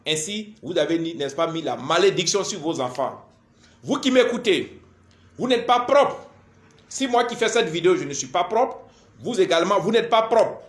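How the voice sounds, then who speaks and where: A man gives a spiritual lecture in a deliberate, didactic, repetitive manner, in short halting phrases. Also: high-pitched, very animated. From Ivory Coast. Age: 40s. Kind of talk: spoken lecture to camera. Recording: echoey room sound.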